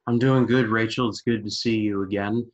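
A man's voice: lowish voice, fairly even intonation, normal speed, dull audio. A man guesting on a casual podcast, enthusiastic and repetitive, full of "like" fillers. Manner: enthusiastic, repeats himself, many "like" fillers.

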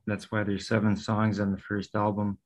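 A man's voice: on the low side, completely flat delivery, normal speed. A man, a guest musician, telling his story hesitantly in a casual interview, with many "um"s. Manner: hesitant, starts with "um" and "I don't know".

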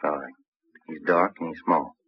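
A man's voice: a bass voice, fairly even intonation, moderate pace, husky, no echo. A man playing a plain-spoken, businesslike police detective in a vintage radio drama, short and direct. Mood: firm. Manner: direct, clipped. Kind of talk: 1950s radio drama acting. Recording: muffled vintage radio recording.

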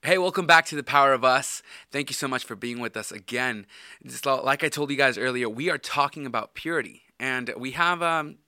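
A man's voice: mid-range voice, a little fast, bright, forceful tone, smooth. A man co-hosting a faith podcast with his wife, agreeable and easygoing. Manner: agreeable, frequent backchannels. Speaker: podcast co-host. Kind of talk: casual chat.

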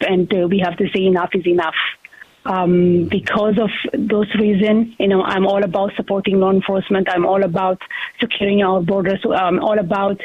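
A woman in her forties from Ethiopia, a guest candidate speaking earnestly with many pauses and fillers. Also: mid-range voice, slightly rough, no room sound. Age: 40s. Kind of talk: phone interview on talk radio. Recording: tinny phone line.

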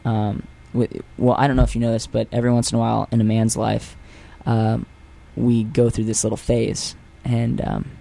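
A young male singer rambles through his answers on a radio show, leaning on fillers and restarts and poking fun at himself. His speaking voice sits at an ordinary middle pitch for a man. He is picked up in a studio. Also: flat delivery, moderate pace, gravelly, hardly any pauses, mellow, rough recording. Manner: rambling and self-deprecating, many fillers and restarts. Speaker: guest singer. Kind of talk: casual radio interview.

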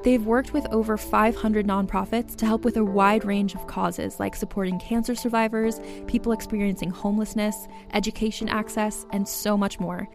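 A woman reading ad copy in an upbeat, smooth way. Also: mid-range voice, fairly even intonation, moderate pace, music in the background. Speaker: podcast host reading ads. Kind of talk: read ad copy.

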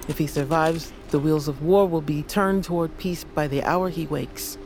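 A woman's voice: low-pitched, normal speed, a little mellow. Stern old grandma elder, solemn fantasy storytelling, music behind her. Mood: grave warning. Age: older. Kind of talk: fantasy audio drama acting. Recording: dramatic music under the voice.

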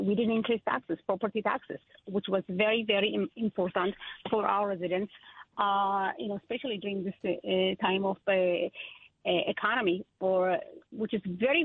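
A woman in her thirties, born in Ethiopia and raised in Israel, answering interview questions as a political candidate, with halting speech full of fillers and restarts. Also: medium pitch, breathy edge, no echo. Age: 30s. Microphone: telephone. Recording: tinny phone line.

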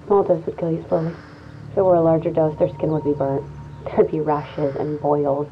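A woman's voice: deep voice, flat delivery, normal speed, muffled recording, soft background music, lightly acted. An actress playing a level-headed, clinical woman in an audio drama, speaking in an analytical, matter-of-fact way. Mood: grim, analytical. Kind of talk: audio fiction acting, naturalistic.

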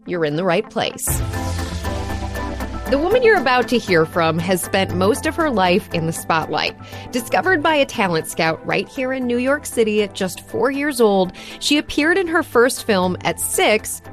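A woman hosting an interview podcast, upbeat and friendly, reading a scripted intro and asking questions. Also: on the high side. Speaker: podcast host.

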